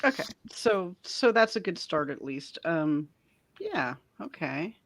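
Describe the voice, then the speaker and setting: A woman's voice: on the low side, sing-song, slow pace, vocal fry, no room sound. A woman hosting a casual geek culture panel chat, informal and given to repeating her phrases for emphasis.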